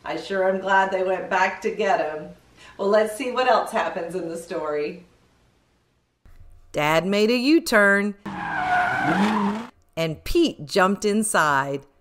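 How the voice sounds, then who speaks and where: A middle-aged woman hosting a children's read-aloud, expressive and questioning, stretching out key words for young listeners. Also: medium pitch, slow pace, pauses often, a little hiss or hum. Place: indoor studio with green screen, seated. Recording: roomy echo, distant microphone.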